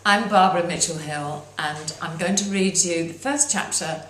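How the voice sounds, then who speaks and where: A woman reading her own children's story aloud as its author, in an expressive narrating style. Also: fairly low pitch, normal speed. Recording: roomy echo.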